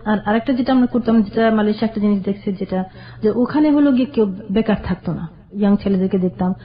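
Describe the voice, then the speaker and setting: A woman telling her life story to a radio host, slow and hesitant, with restarts. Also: mid-range voice. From Bangladesh. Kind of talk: personal storytelling interview. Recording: dull lo-fi radio recording.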